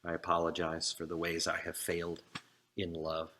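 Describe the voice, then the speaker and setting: A man preaching a sermon as a minister, speaking earnestly in short, deliberate phrases. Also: deep voice, completely flat delivery, sharp tone.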